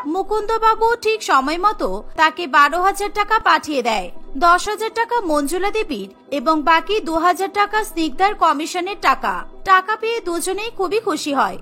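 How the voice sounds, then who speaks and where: A woman narrates a cartoon tale in a very high, bright voice. Faint music plays behind the scripted storytelling.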